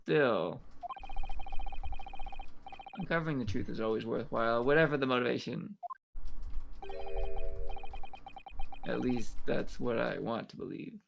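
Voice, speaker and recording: A man voicing a polite, gently sceptical young detective's partner with a halting, apologetic manner in a game fan dub. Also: mid-range voice, gravelly, background noise, lightly acted. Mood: earnest.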